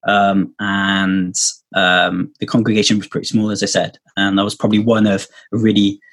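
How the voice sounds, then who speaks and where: Husky man rambling on a video call. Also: fairly low pitch, level tone, moderate pace, fairly bright tone. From Wales. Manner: rambling, many fillers and restarts. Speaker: podcast guest. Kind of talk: casual testimony chat.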